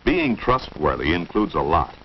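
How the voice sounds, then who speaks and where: Male American narrator, 1950s educational film, instructive and expressive, muffled soundtrack with faint hiss. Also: low-pitched, normal speed. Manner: didactic, addressing young viewers with questions.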